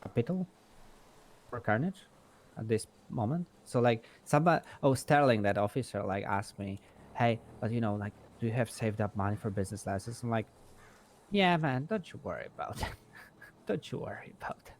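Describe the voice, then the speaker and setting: A man's voice: medium pitch, expressive, a little fast, slight background noise, natural voice. A man playing a blunt, foul-mouthed male mechanic and shop owner, talking in a rambling, emphatic way in improvised game roleplay. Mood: confident, explanatory. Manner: rambling, emphatic, swears freely.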